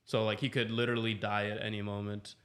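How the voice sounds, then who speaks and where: A man's voice: fairly low pitch, little pitch movement, fast, a bit bright. A man in his twenties, co-hosting a casual podcast, rambling and filling his talk with "like". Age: 20s. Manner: rambling, heavy use of "like". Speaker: podcast co-host. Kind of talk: casual chat.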